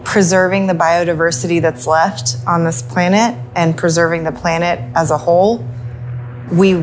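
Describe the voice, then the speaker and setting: A woman's voice: fairly low pitch, fairly even intonation, moderate pace, faint music behind, poor audio. A woman in her thirties speaking passionately and emphatically to camera in a plain studio. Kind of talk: to-camera interview.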